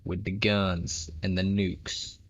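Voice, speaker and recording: A man's voice: sits low, flat delivery, slow pace, husky, no echo. A man casually commenting on a clip he is watching, blunt and dismissive, laughing at it, recorded on a dull lo-fi mic.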